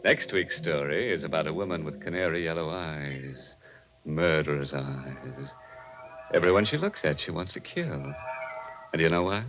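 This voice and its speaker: Macabre, sardonic radio horror host, low voice, swooping intonation, old-time broadcast. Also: male voice, lively intonation, a bit bright. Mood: sinister playfulness. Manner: sardonic, chuckling, drawn-out sinister delivery. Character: ghoulish, gleeful male horror host cracking macabre puns. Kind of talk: 1940s radio acting. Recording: vintage radio broadcast with music.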